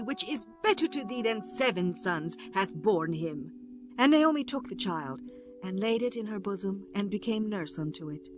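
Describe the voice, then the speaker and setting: A woman narrating a scripture audiobook in a measured, formal way. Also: medium pitch, a bit bright.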